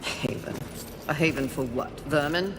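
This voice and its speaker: A woman's voice: deep voice, moderate pace, noisy background, natural voice. A woman playing a haughty, sardonic horseman warrior in a video game, with dry and mocking disdain. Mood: disdainful. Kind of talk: dramatic video game cutscene acting. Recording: loud music under the voice.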